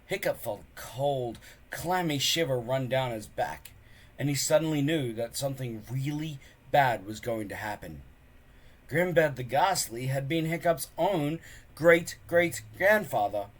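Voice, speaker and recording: Man reading a storybook, very animated pitch. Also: unhurried. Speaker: storybook reader. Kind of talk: expressive scripted narration of a children's book.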